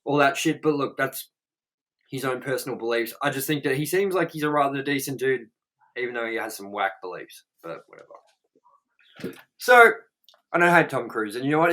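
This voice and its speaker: A man's voice: medium pitch, sharp tone. Profane rambling guy. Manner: rambling, profane, blunt, with many "like" fillers. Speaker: co-host of a movie review show. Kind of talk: casual chat reading out movie trivia.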